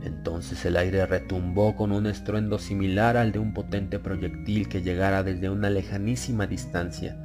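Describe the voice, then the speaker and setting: A man narrating a dramatized horror audiobook in a solemn, measured, dramatic way. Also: sits low, little pitch movement. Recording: loud music behind the voice.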